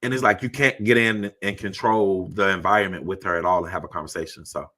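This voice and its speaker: A man with a deep voice hosting a casual chat, talking in a laid-back, rambling way. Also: steady tone, fast talker, bright, forceful tone. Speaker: host.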